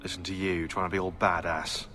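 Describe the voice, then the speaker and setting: An actor playing a sardonic, eccentric British conspiracy researcher turned assassin in a video game, chatty, opinionated and sarcastic. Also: male voice, deep voice, flat delivery, brisk, pushed and bright, soft background music. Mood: wry. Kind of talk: game cutscene dialogue.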